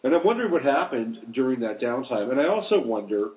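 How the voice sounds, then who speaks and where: A man interviewing in a casual conversational way, with halting, reflective phrasing. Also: medium pitch, sing-song. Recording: thin phone-like line.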